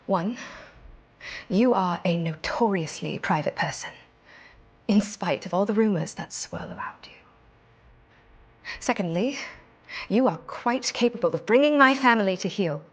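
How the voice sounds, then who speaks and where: A woman's voice: mid-range voice, expressive, measured pace, long pauses, husky. An actress playing a determined young noblewoman in a period romance drama, with earnest, formal old-fashioned diction. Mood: composed, persuasive. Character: determined young noblewoman seeking escape through marriage.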